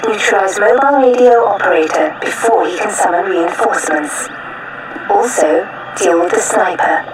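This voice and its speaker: An actress playing a brisk wartime radio handler, giving clipped, formal orders over a radio in a game. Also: female voice, mid-range voice, roomy sound, far from the mic, a loud crowd, poor audio. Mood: urgent, businesslike. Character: brisk, businesslike wartime woman radio operator. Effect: radio transmission. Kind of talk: military radio dispatch in a game.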